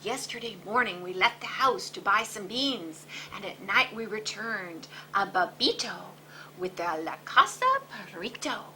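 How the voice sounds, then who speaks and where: A woman's voice: mid-range voice, sing-song, unhurried, noisy background. A woman voicing a bossy, playful little male chihuahua with a sing-song, rhyming delivery.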